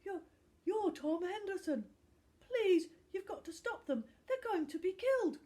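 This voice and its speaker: A woman voicing a frightened young boy who pleads hoarsely in a storybook reading. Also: very high-pitched, animated, measured pace, warm tone, pitched above the performer's own voice. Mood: desperate pleading.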